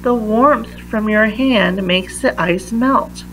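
A woman reading a children's picture book aloud in a deliberate manner, pausing between phrases. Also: medium pitch, fairly bright tone, a little hiss or hum, poor audio. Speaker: read-aloud reader.

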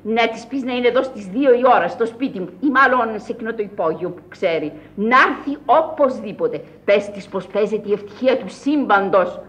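An actress playing an eccentric, bossy old countess in a commanding, theatrical radio drama style. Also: female voice, medium pitch, lively intonation, hardly any pauses, close to an everyday voice. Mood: scheming, bossy. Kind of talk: Greek radio theatre acting.

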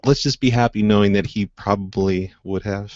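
A man's voice: fairly low pitch, moderate pace, a little mellow, dry recording. A man hosting a prank call show, improvising casually in a mischievous, innocent-sounding way, on dull lo-fi audio.

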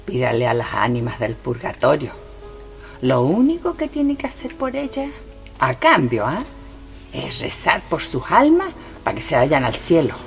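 A woman's voice: a bass voice, huge pitch swings, normal speed, creaky, soft background music, full character voice. An actress playing a folksy, pious, deferential woman servant with a Chilean accent in a radio drama. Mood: deferential concern.

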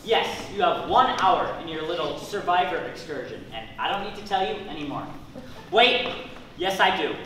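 Young man as high, animated bossy manager. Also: moderate pace. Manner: clipped, bossy, dismissive. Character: curt, impatient, officious male store manager. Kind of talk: amateur stage comedy acting. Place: indoor theatre stage. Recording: echoey stage from far off, faint noise.

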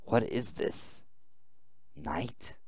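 A man voicing a weak, bitter outcast boy in a visual novel game, halting and brooding. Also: fairly low pitch, lively intonation, darkish tone, slightly rough, no room sound, heavily acted. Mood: hesitant, brooding.

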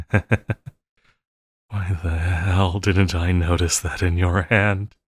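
A man playing a possessive supervillain lover in an intimate audio roleplay, coaxing and pleading with a halting delivery and quiet menace. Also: low voice, flat delivery, breathy edge, no echo, studio quality, full character voice. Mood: baffled.

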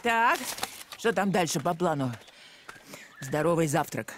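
A woman's voice: low-pitched, expressive, unhurried, music in the background. A woman voicing a bossy, self-assured nanny who gives orders like a drill-sergeant coach in a children's cartoon. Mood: brisk, bossy. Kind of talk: animated children's cartoon dialogue.

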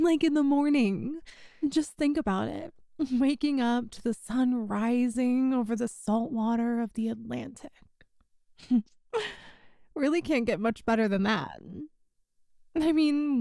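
A friend's older sister, acted by a woman in a roleplay audio, talks in a fairly high voice. She is casual and chatty, with a teasing, giggly manner that covers a secret fondness. The sound is dry, with no room echo. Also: warm tone. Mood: light, reassuring. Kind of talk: roleplay audio acting, one side of a conversation.

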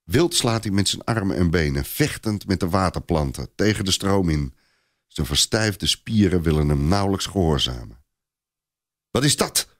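A man narrating a scripted story in a dramatised, expressive and deliberate storytelling style. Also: sits low, no echo, studio quality.

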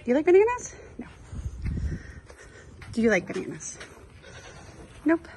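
A woman's voice: sits high, wildly swooping pitch, measured pace, darkish tone, a little hiss or hum. A woman filming her farm animals outdoors on her phone, chatting casually and playfully talking to the animals. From Arizona, US. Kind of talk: casual phone vlog narration.

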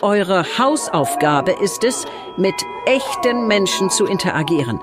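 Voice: medium pitch, big pitch swings, unhurried, music in the background. Adult playing a firm resigned teacher. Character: teacher disciplining her class. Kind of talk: children's audio drama.

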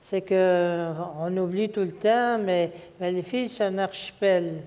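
A woman's voice: fairly low pitch, a little slow, warm tone, clean tone. A woman in her seventies with a Quebec French accent, talking reflectively as a panelist on an auditorium stage. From Quebec, Canada. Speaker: panelist, writer. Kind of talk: reflective unscripted panel talk. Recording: reverberant hall.

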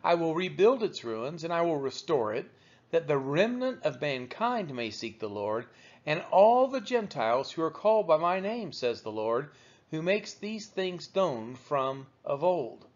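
A man reading the Bible aloud as measured narration, with deliberate, emphatic phrasing. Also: a little high, sing-song. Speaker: Bible reader. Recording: dull, lo-fi recording.

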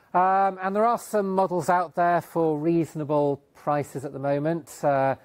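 A man's voice: a little high, darkish tone. A middle-aged man giving a technical talk in a lecture hall, speaking with many ums and restarts. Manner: hesitant, many fillers and restarts. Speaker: conference speaker. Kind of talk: technical conference talk with slides.

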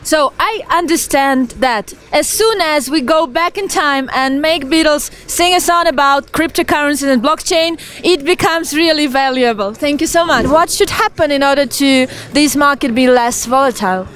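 A young woman reporter asking street interview questions outdoors at night into a handheld mic, with earnest, slightly halting phrasing. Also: high-pitched, fairly bright tone. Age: young adult.

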